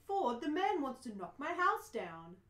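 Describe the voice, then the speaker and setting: Woman reading baffled fed-up everyman, kinda high voice, very lively pitch, echoey room. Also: unhurried, full character voice. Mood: exasperated. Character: exasperated, bewildered ordinary Englishman defending his house. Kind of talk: amateur radio play reading. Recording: roomy, echoing live reading.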